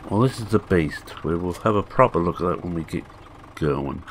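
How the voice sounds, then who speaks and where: A man in his sixties talks through his farming game in a relaxed, rambling way, pausing on fillers as he goes. His voice sits very low and sounds hoarse and croaky. A faint engine hum runs under his voice. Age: 60s. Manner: unhurried, rambling, halting with fillers. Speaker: farming game video maker. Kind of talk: casual gameplay commentary.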